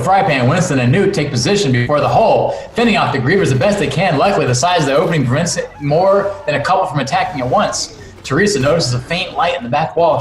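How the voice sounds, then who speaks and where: A man narrating screenplay stage directions at an online table read, reading expressively with occasional stumbles and asides. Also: on the high side. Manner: expressive, stumbles and breaks to comment. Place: remote video call from a room. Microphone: video call microphone. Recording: roomy, echoey video call audio.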